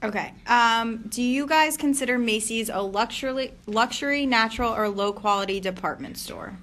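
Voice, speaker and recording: A young woman in her twenties leads a student focus group. Her voice sits a little high, and she asks her questions politely, with hesitant fillers between them. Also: normal speed, fairly bright tone, a little hiss or hum.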